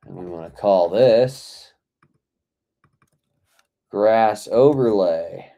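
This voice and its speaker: A hoarse man with a dark, mellow low voice, losing his voice as he works. He speaks slowly and rambles, muttering half to himself while walking through a game dev session. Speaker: indie game developer. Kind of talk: casual dev log, thinking aloud while working.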